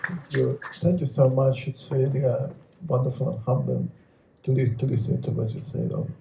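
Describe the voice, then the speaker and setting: Man, panel answer, gravelly, musing, rhetorical questions, echoey hall. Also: medium pitch, steady tone, normal speed, noisy background. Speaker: panelist poet. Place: venue hall at a live reading.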